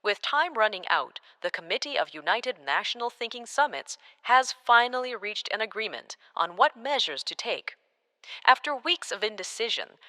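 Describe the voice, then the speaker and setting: A woman's voice: medium pitch, pushed and bright, in a large hall. A woman playing an urgent, earnest news anchor delivering a report in an audio drama.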